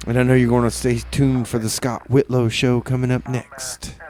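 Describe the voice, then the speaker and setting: A man's voice: medium pitch, little pitch movement, normal speed, warm tone, a little hiss or hum. Rambling man hosting community radio. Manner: rambling, hesitant, full of fillers and restarts. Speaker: radio DJ host. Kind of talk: casual radio DJ patter.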